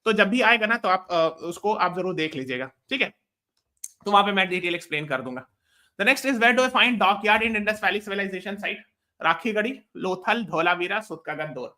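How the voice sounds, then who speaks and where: A man's voice: sits high, expressive, racing, sharp tone. A man with an Indian accent teaching a live online exam class, switching between English and Hindi, repeating himself and checking that students follow. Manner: repeats phrases and checks understanding often. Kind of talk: live lesson, explaining quiz answers to students.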